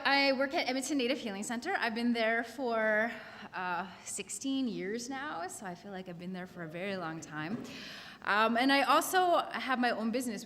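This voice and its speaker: A woman from Saskatchewan giving an earnest, measured conference presentation, with some hesitations. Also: fairly high pitch, a bit bright. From Saskatchewan, Canada. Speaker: conference panelist. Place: conference hall. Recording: long hall reverb.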